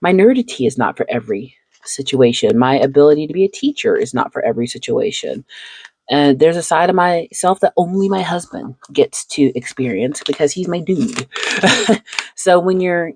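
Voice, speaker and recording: A woman's voice: deep voice, lively intonation, moderate pace, a little mellow, slight background noise. A woman hosting a casual chat while sleeving cards, reflective and chatty, laughing often between thoughts. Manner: seated, talks while handling cards. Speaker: channel host.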